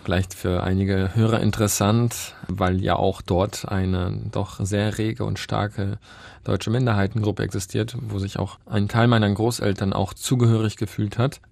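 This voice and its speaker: Young male journalist, deep gravelly voice, dry studio sound. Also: little pitch movement, moderate pace, a little mellow, no echo. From Upper Silesia. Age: young adult. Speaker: journalist, interviewee. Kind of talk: casual studio interview. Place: radio studio.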